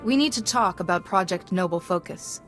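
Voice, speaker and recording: An actress playing a calm, earnest female Jedi in a video game, composed in manner. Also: lowish voice, moderate pace, faint music behind. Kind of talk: video game cutscene acting.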